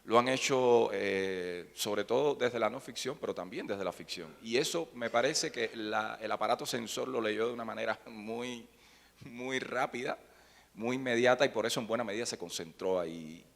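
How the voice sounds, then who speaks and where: A man's voice: mid-range voice, normal speed, creaky, fairly bright tone. A man speaking on a panel, thoughtful and reflective, often restarting his phrases. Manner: thoughtful, with frequent restarts and hesitations. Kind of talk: academic panel talk. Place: conference hall. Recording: reverberant hall.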